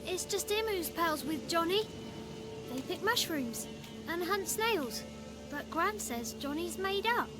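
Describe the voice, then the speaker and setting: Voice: extremely high pitch, animated, slow, exaggerated voice. A performer playing a cheeky, bold little village child in a video game, with pert, simple rustic phrasing. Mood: matter-of-fact, bargaining. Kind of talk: video game cutscene acting. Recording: music behind.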